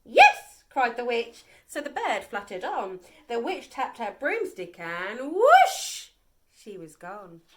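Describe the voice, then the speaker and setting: A woman reads a children's story with dramatic, rhythmic expression. Her voice is high and swoops widely, and she takes it slowly. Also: sing-song, slight background noise. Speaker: nursery staff member reading a story.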